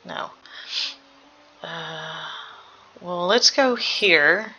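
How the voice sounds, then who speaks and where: A woman giving casual game reaction commentary, creaky-voiced, analytical and sweary as she thinks aloud. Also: lowish voice, drawn-out words, dull audio, music in the background.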